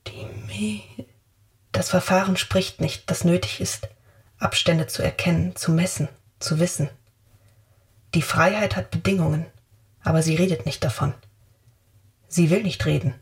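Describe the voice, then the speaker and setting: An actress playing a withdrawn, cryptic woman in a radio play, voicing abstract thoughts in a halting, incantatory monologue. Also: on the low side, steady tone.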